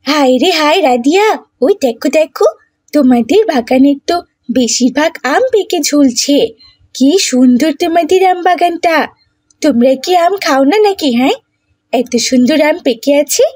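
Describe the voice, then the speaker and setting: A woman's voice: sits high, expressive, normal speed, darkish tone, no echo, pitched above the performer's own voice. A woman voicing a mischievous, scheming young village girl in a cartoon, with a wheedling, bossy way of talking. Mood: eager, wheedling.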